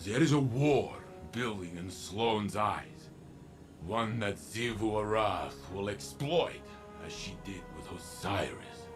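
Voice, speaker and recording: Game cutscene alien warrior leader: low-voiced, unhurried, weary and protective, grave halting delivery. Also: male voice. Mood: grave, foreboding. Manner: slow, grave, deliberate, halting. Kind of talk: dramatic game cutscene acting.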